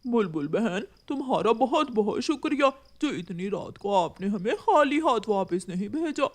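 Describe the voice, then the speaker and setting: A woman's voice: on the high side, big pitch swings, moderate pace. A woman voicing a helpful, courteous crow neighbour in a cartoon, speaking with concern. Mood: grateful.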